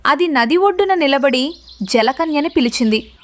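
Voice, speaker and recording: A woman's voice: high voice, expressive, normal speed. A woman narrating a scripted children's story in a dramatic storytelling manner.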